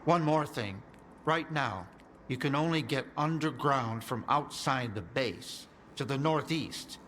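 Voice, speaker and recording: An anxious scientist in a video game, giving an earnest briefing. His voice sits at a middling pitch, with clear ambient noise behind it. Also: animated, unhurried, a bit bright. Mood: explaining, helpful. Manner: earnest, explanatory. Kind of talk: video game cutscene acting.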